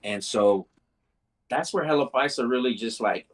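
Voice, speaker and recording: A man's voice: medium pitch, normal speed. A man, telling his story as a podcast guest in laid-back street slang, dropping Spanish phrases and calling everyone bro. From Mexico. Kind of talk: casual podcast chat.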